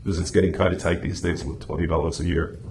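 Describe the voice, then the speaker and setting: A man's voice: sits low, steady tone, fast talker, darkish tone. A man giving a chatty, anecdotal, informal presentation to a club meeting. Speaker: club presenter and radio script writer. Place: meeting room, speaking to a small audience. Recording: echoey room with faint noise.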